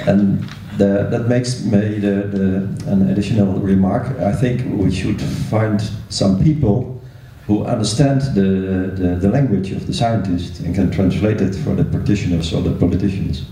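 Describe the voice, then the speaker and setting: A man's voice: fairly low pitch, moderate pace, hardly any pauses, dark tone, a little hiss or hum. A man making a spontaneous, hesitant comment from the audience at a meeting, often repeating words as he goes. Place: large echoey room at a meeting. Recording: echoey hall, distant microphone.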